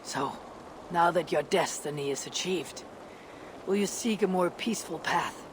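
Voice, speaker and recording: An actress playing a tough, proud female Viking warrior in a video game, deliberate and solemn, with a husky, gravelly voice. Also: fairly low pitch, natural voice. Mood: solemn, curious. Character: tough, proud Viking woman warrior, loyal to her clan. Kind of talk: video game cutscene acting. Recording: noisy outdoor game ambience.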